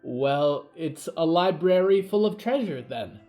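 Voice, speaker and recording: Boy ghost, played by a male narrator: lifted pitch, bouncy delivery, eager little adventurer in an eerie audio story. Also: unhurried, faint music behind. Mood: eager, playful. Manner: excited, coaxing.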